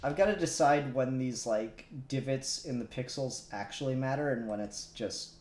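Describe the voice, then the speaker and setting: A man's voice: medium pitch, moderate pace, darkish tone. A man chatting casually as he hosts a live stream while working. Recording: roomy echo, music underneath. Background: music.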